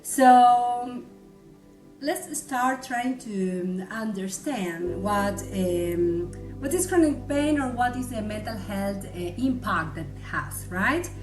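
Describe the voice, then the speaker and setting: A woman's voice: mid-range voice, lively intonation, takes it slowly, roomy sound, faint music behind. A woman from Argentina with an Argentine Spanish accent, a psychologist presenting a webinar who often checks in with "yes?" and "right?".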